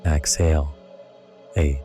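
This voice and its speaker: A man narrating a scripted guided sleep meditation in a hushed, deliberate way, in short phrases. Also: extremely low pitch, level tone, faint music behind.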